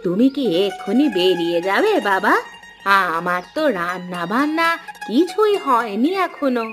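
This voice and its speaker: A woman voicing a kindly, humble elderly mother and market seller in a cartoon, with a gentle, patient, drawn-out way of talking. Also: a little high, very animated, music in the background. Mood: tender. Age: older. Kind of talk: Bengali cartoon voice acting.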